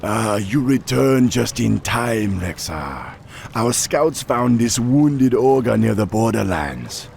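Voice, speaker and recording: Gravelly, lilting troll leader. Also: male voice, on the low side, sing-song, slow pace, darkish tone, slight background noise, exaggerated voice. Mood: concerned. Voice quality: gravelly, creaky. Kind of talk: video game cutscene acting.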